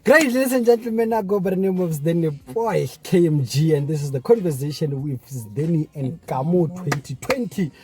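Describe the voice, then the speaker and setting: A man's voice: on the high side, lively intonation, moderate pace, hardly any pauses, warm tone, faint music behind. A man from South Africa hosting a casual talk show, upbeat, mixing English with Sepedi. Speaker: host. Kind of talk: casual podcast chat.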